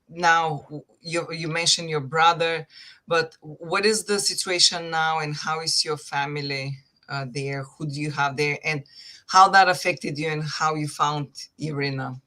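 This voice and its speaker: A woman's voice: deep voice, pushed and bright. A woman hosting an interview show, inviting the guests in and asking follow-up questions. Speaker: host. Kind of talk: conversational interview.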